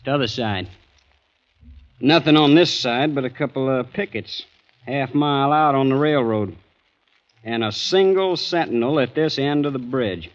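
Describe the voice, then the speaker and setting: An actor playing a polite, plain-spoken Confederate corporal with a Southern US accent in an old radio drama. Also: male voice, medium pitch, a little slow, close to an everyday voice. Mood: polite, matter-of-fact. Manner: deferential, folksy. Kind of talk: 1940s-50s radio acting. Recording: dull vintage radio recording.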